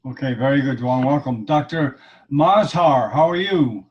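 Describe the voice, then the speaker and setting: A man's voice: medium pitch, moderate pace, muffled recording, slight background noise. A man hosting and moderating a medical webinar over a video call, politely prompting the panelists to introduce themselves. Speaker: host.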